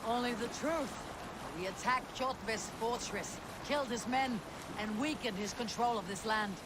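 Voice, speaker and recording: A high-pitched, battle-hardened Viking raider speaks with dry, stubborn defiance. It is game cutscene acting, with clear water and boat noise behind the voice. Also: male voice, a little slow. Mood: defiant, dry. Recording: noisy, water and boat ambience.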